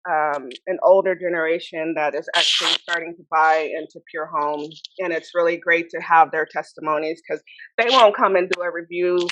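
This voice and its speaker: Lower-voiced woman, chatty and laughing. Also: steady tone, moderate pace, a bit bright, a little hiss or hum, low-quality recording. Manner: chatty, laughs often, many fillers and restarts. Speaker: guest, founder of a cleaning products brand. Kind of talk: casual podcast interview.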